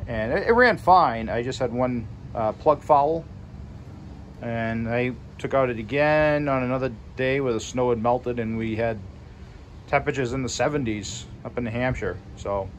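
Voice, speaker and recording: A man's voice: mid-range voice, background noise. A man casually walking through his railcar in a small garage, talking loosely with fillers as he films on his phone. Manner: relaxed, conversational, loose with fillers. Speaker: railcar hobbyist showing his car. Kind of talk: casual video walkthrough. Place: small wooden garage, indoors. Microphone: phone camera held by the speaker.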